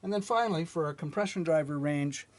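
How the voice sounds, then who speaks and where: A middle-aged man, an engineering manager, giving a measured technical lesson at a whiteboard. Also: on the high side. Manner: plain, measured teaching delivery with light restarts. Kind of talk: explaining at a whiteboard.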